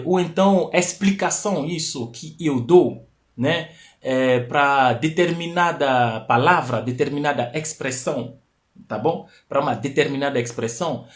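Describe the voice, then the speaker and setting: Man teaching on a live stream. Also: a little high. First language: not Portuguese. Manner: explanatory, repeats key phrases, rising emphatic peaks. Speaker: host of a live stream talk. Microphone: live stream mic.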